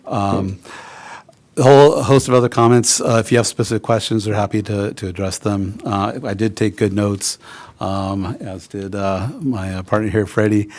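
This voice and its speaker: Man, somewhat low voice, applicant representative, halting, many fillers, public meeting. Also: steady tone, a little mellow. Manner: halting, many uhs and restarts. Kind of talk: addressing a public board meeting. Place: council meeting room.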